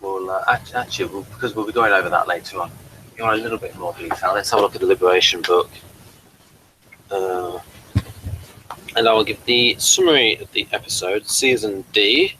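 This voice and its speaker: A man hosting a TV review show in casual, unscripted chat, with a rambling, halting delivery. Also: on the low side, bright, forceful tone, low-quality recording.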